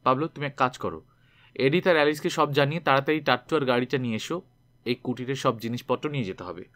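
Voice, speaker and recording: A man's voice: mid-range voice, fast, fairly bright tone, close to an everyday voice. A man reading the part of a decisive, caring young man who gives firm instructions in a story. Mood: firm, instructing.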